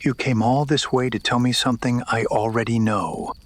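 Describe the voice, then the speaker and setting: An actor playing an unhurried, imperious patriarch in an audio drama, cool and dismissive. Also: male voice, mid-range voice, steady tone, no room sound, lightly acted. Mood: cool, challenging.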